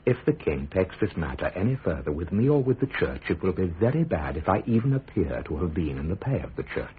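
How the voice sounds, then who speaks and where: A man's voice: sits low, big pitch swings. An actor playing a principled, composed Tudor statesman in a radio drama, British, speaking formally with dry irony. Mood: earnest. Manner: measured, formal, dryly ironic. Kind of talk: period radio drama acting. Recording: muffled phone-like radio drama, faint noise.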